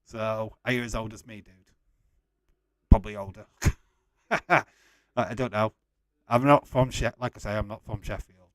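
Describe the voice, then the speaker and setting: A man's voice: fairly low pitch, fairly even intonation, a little slow, pauses often, no room sound. A man in his forties with a Yorkshire accent, hosting a casual livestream and reading out comments in a blunt, chummy, informal way. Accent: Yorkshire English. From West Yorkshire, England. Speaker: livestream host. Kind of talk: casual watch-along chat, reading out viewer comments.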